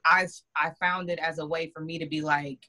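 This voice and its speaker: A woman talks earnestly about her own business in a casual interview. Her tone is bright and pressing, and she is reflective as she speaks. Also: low voice, steady tone, a little fast. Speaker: guest, business owner.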